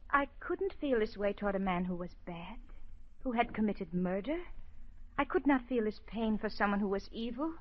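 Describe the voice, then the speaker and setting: A woman's voice: mid-range voice, animated, moderate pace, a little hiss or hum, natural voice. An actress playing an earnest, devoted young woman psychiatrist who pleads urgently, in 1940s radio acting. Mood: pleading. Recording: dull 1940s radio broadcast.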